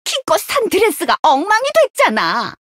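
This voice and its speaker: A woman's voice: very high-pitched, wildly swooping pitch, normal speed, fairly bright tone, no room sound, studio quality, full character voice. A woman voicing a brash, money-hungry young female mercenary leader in a game, with cocky, theatrical taunts. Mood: smug, haggling. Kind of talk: Korean mobile game character voice lines.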